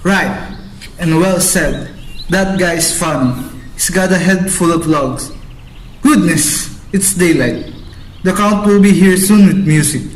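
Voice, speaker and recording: Bossy noble father for radio play, male actor, declamatory, slow and high-pitched, in an echoey room. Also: a little hiss or hum. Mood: impatient, hurried. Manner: commanding, declamatory. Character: bossy, impatient lord and father, later grieving. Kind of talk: amateur radio drama acting.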